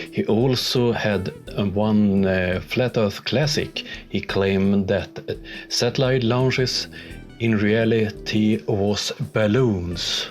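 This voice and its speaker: A Swedish-accented man speaks English slowly, with a fairly low voice. His commentary is calm, dry and sarcastic. Quiet music plays behind him. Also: vocal fry. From Sweden. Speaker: YouTuber debunking videos. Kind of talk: scripted commentary voice-over.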